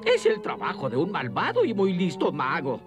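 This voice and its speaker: A man's voice: high voice, huge pitch swings, normal speed, over music. A man playing a scheming, hot-tempered middle-aged wizard in a cartoon, theatrical and sly. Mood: sly, falsely friendly. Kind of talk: exaggerated cartoon villain acting.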